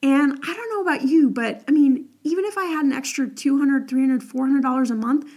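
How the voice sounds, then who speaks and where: Young woman podcast host, kinda high voice, crackly morning voice, chatty with lots of like and all right. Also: a little fast, darkish tone. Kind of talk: casual advice podcast.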